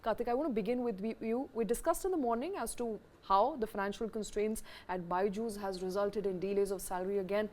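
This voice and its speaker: A woman's voice: mid-range voice, brisk, hardly any pauses, a little mellow. A woman with an Indian accent anchoring live news, moving between a scripted lead and questions to guests.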